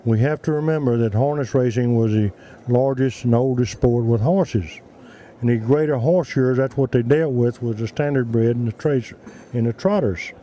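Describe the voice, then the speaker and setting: An older man giving unhurried, folksy answers in an interview, telling stories into a handheld microphone. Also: mid-range voice, warm tone, poor audio. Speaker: museum representative interviewed. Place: large indoor trade show hall.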